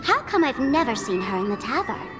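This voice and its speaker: A mischievous young trickster girl in a video game, teasing and theatrical. Her high voice leaps all over the place with glee. Game music plays behind her. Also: big pitch swings, fairly quick. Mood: scheming. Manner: teasing, playful, sing-song.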